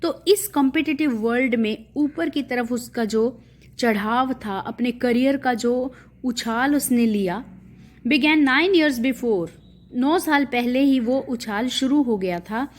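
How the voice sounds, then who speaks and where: A woman teacher, reading lines slowly and then explaining them at length. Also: on the high side. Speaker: English teacher. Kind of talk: explaining an English text in Hindi.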